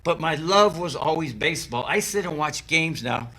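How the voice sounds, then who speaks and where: A man's voice: a little high, expressive, normal speed, pushed and bright, slightly rough. A man hosting a sports interview, eager and enthusiastic, often repeating words as he talks. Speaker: interview host. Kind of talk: casual interview chat.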